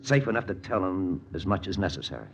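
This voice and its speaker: Very deep-voiced hard-boiled American lieutenant. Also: male voice, animated, fairly bright tone, a little hiss or hum. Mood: grim suspense. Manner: terse, hard-boiled, deliberate. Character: hard, tense American intelligence lieutenant narrating his mission. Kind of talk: 1940s-50s radio drama narration. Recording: muffled old radio recording with music.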